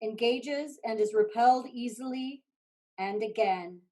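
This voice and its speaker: A woman's voice: a little high, unhurried, mellow, rough recording. A woman reading stage directions as scripted narration for a staged play reading.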